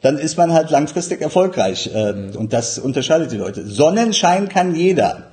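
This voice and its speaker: Husky man in his forties, relaxed. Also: on the high side, moderate pace. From Iran. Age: 40s. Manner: relaxed, many fillers, laughs easily. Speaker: café owner, guest. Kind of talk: casual interview talk.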